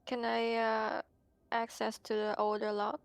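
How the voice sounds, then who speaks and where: A woman's voice: a little high, monotone, measured pace, vocal fry, no echo. A woman speaking casually as a player in an online roleplaying game. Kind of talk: casual roleplay over voice chat.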